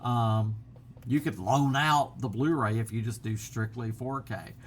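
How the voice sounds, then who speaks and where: A man's voice: mid-range voice, measured pace, slight background noise. Rambling man with a drawl. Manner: rambling, many fillers and restarts. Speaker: vlogger. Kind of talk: casual chat to camera. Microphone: built-in laptop camera mic.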